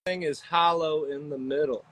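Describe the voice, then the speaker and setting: A man joining as a guest on a call, talking casually with a relaxed, swearing manner over his phone. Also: on the high side, normal speed, a little hiss or hum, poor audio. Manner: laid-back, swears casually. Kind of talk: casual chat.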